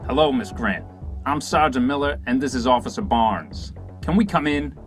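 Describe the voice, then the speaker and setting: An actor playing a brisk police sergeant with an insistent, official manner in an audio drama. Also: male voice, medium pitch, expressive, music in the background, lightly acted. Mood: businesslike. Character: brisk male police sergeant questioning witnesses.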